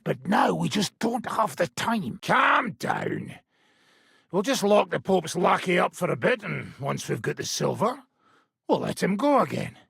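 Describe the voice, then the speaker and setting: Gruff medieval merc leader for a game, super raspy n growly, bossy and sarcastic, bit higher pitched, dry recording. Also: male voice, big pitch swings, measured pace, fairly bright tone, no room sound, heavily acted. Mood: stern, commanding. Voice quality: gravelly, raspy. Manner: blunt, sardonic, commanding, swearing. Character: gruff, foul-mouthed ageing medieval mercenary band leader. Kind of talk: video game voice acting.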